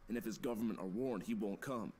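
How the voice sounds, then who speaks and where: A low, grave American fugitive played by a male actor in a period thriller game. He lays out his secrets urgently and emphatically, deep in pitch, over faint background ambience. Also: quick pace, warm tone, slight background noise, natural voice. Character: urgent, conspiratorial American man on the run in 1914. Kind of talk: dramatic game voice acting, monologue.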